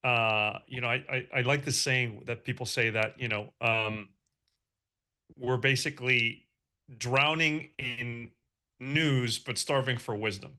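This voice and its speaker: A man's voice: medium pitch, steady tone, unhurried, pushed and bright. A man from Belgium with an American accent, answering interview questions in a thoughtful way, with a lot of um and you know. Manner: thoughtful, with many fillers and restarts. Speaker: guest, marketing executive.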